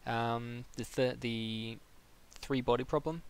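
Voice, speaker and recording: A man's voice: medium pitch, fairly even intonation, slow pace, clear, resonant tone. A man hosting a live stream, chatting casually as an interviewer. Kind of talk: casual livestream interview chat.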